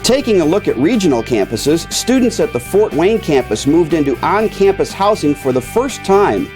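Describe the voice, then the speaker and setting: Animated, higher-pitched American male newsreel narrator. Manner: measured, announcer-like delivery. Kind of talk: scripted narration. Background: music.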